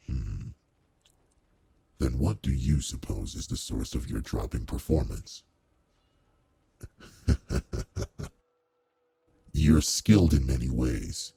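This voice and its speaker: A man voicing a commanding, old-fashioned demon lord in a roleplay audio drama, speaking formally and with measured authority to one servant, with quiet care underneath. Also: no echo, exaggerated voice. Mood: probing, concerned. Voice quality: very deep, gravelly, husky.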